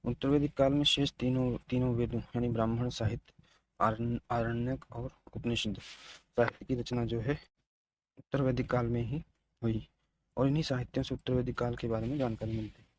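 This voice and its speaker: A man giving an exam-preparation lecture as a teacher, haltingly repeating and restating his key phrases. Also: mid-range voice, steady tone, darkish tone, a little hiss or hum. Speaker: teacher of exam history lessons.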